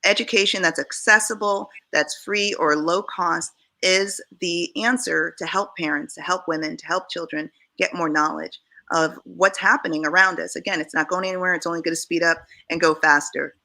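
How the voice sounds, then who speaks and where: A woman's voice: lowish voice, fairly even intonation, moderate pace, gravelly, sharp tone. A woman from Jamaica speaking earnestly as a panelist in a discussion.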